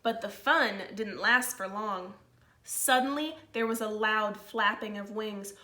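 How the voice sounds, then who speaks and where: A woman teacher reading a picture book to children in a slow, expressive way, with informal asides to her pupils. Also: mid-range voice. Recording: roomy, echoey sound.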